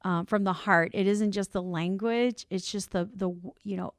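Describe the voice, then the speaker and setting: A woman's voice: medium pitch, moderate pace, no echo, studio quality. A woman with a Cuban accent, a business owner guest on a podcast, telling her story in an earnest, heartfelt way. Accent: Cuban Spanish. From Cuban family, grew up on the US East Coast. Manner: earnest, emotional, lively when amused. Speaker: podcast guest, insurance brokerage CEO. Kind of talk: conversational interview storytelling.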